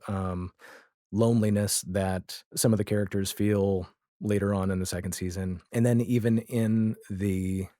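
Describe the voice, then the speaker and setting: A man speaking as a podcast guest in a casual, reflective conversation, with halting, thoughtful phrasing. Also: lowish voice, mellow, no room sound, studio quality.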